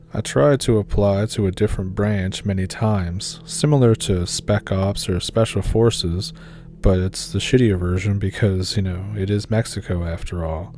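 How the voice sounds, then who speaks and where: A man calmly reading scary stories aloud as the narrator of a horror channel. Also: deep voice, slight background noise.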